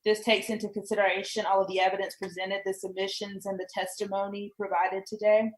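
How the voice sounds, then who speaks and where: A woman's voice: medium pitch, level tone, a little fast, low-quality recording. A woman serving as a commission member, deliberating and stating motions in a formal meeting.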